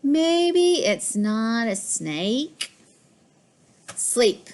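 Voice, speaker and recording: A woman voicing a boastful, fussy pet house cat in a children's storybook, with expressive, deliberate delivery. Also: fairly high pitch, full character voice. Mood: boastful, playful. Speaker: author reading own children's book. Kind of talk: scripted children's storybook narration.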